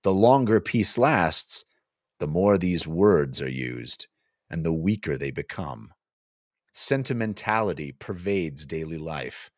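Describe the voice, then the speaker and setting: A man's voice: low-pitched, lively intonation, slow pace, no room sound. A middle-aged man co-hosting a podcast, discussing books and reading passages aloud expressively into a close microphone. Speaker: podcast co-host. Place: small home office, indoors. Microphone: close podcast microphone on a boom arm.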